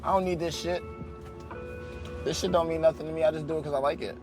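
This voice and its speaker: Dude rapper, blunt and cussing, kinda high voice, music going behind. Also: male voice, steady tone, quick pace. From Albany, New York. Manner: blunt, profane, emphatic. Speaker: guest, rapper. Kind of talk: casual interview talk.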